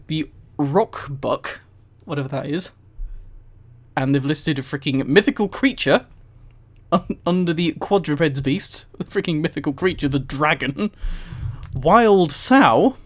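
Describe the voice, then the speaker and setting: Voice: medium pitch, animated, unhurried. A streamer reading aloud on a live stream with casual, amused commentary and incredulous, mildly sweary asides. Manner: amused, often laughing while reading. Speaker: streamer and host.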